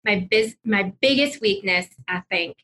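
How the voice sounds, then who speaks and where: A woman talking casually and emphatically as an interview guest in an office, over a noticeable low rumble. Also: measured pace, bright, forceful tone. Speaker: podcast guest, wealth management executive.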